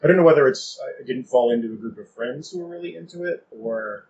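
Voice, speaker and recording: Higher-voiced middle-aged man, muffled noisy recording. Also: quick pace, darkish tone. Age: 50s. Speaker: podcast co-host. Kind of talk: casual podcast discussion. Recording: dull lo-fi audio, clearly noisy.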